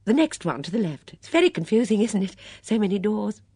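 A fussy, flustered lady, as a woman reader puts her on, hurrying helpfully through her words in a fluttery way. The voice sits higher than the narration, with a husky grain, in a dry, close recording. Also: very animated, brisk, darkish tone, no room sound.

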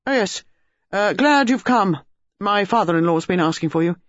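A man puts on a restless, edgy gentleman, raised in pitch and brisk in his speech, his tone rising and falling. The recording is dull and dry. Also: expressive, no room sound. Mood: nervous. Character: tall restless nervous middle-aged man.

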